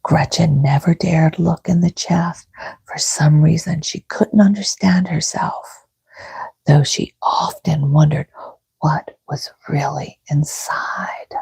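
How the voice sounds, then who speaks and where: Breathy, whispering woman reading bedtime stories slowly. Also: low voice, steady tone, no room sound. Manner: gentle, soothing, unhurried. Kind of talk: soft-spoken ASMR storybook reading.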